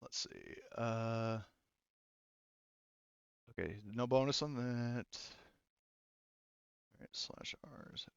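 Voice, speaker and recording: A man running a tabletop roleplaying game as game master, narrating casually and haltingly with muttered asides. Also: mid-range voice, creaky, smooth, dry recording, dull audio.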